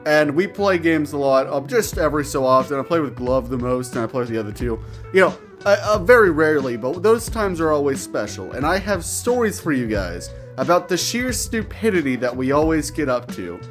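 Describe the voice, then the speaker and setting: A man's voice: on the high side, sing-song, a little fast, soft background music. A man hosting a gaming channel, casually telling rambling anecdotes with playful crude slang and silly nonsense words. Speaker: gaming storyteller and channel host.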